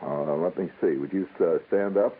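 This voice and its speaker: Man doing a brisk, low-voiced American lady clerk. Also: a little hiss or hum, natural voice. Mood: brisk, distracted. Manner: curt, distracted, giving polite orders. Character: tall thin lady clerk, brisk and bureaucratic. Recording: dull lo-fi radio broadcast.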